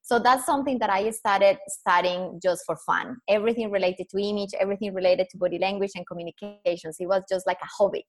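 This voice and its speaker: A woman's voice: medium pitch, fairly even intonation, fast, few pauses. A woman from Colombia with a Colombian accent, a non-native English speaker, telling her story earnestly as a podcast guest. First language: Spanish. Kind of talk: podcast interview.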